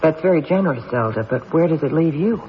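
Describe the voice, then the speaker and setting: An actor playing a skeptical, businesslike police detective with pointed questioning, in an old-time radio drama style. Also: male voice, fairly high pitch, animated, quick pace, lightly acted. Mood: insistent. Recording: muffled old radio recording, faint noise.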